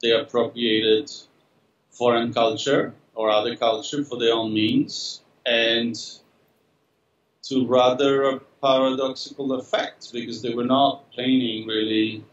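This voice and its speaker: A middle-aged man speaks at an unhurried, halting pace, full of fillers and self-corrections. His voice comes through a laptop video call, dull and far from the mic, with a roomy echo in the studio room. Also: mid-range voice, level tone, a little hiss or hum. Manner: slow, hesitant, many fillers and restarts. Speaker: interviewed artist. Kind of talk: thoughtful interview answers. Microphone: laptop webcam on a video call.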